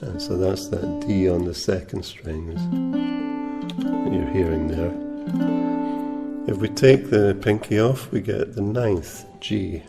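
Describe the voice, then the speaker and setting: Slow, deep soft-spoken male guitar teacher. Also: steady tone, vocal fry. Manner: soft-spoken, unhurried, with many ums and uhs. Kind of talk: relaxed spoken guitar lesson. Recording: reverberant room with guitar playing.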